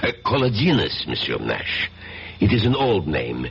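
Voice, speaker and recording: An actor playing a proud, formal foreign crime boss in a radio drama, with halting, deliberate phrasing and quiet menace. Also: male voice, on the low side, wildly swooping pitch, gravelly, slightly rough, full character voice. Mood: courteous, proud. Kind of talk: old-time radio drama acting. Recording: dull, faintly noisy old radio recording.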